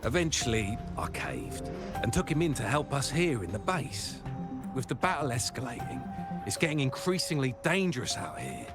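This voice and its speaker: Earnest, talkative army rearguard for a game; highly animated intonation, music underneath. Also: male voice, normal speed. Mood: concerned, regretful. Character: military rearguard soldier, earnest, talkative, slightly flustered. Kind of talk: video game dialogue acting. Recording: background music.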